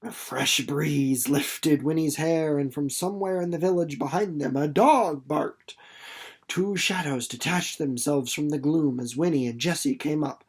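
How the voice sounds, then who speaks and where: A storyteller with a medium-pitched voice reads literary fiction aloud from a script. The delivery is dramatic, shaping each phrase for suspense by easing off in speed and volume. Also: a little slow, husky. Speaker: audiobook narrator reading a novel chapter.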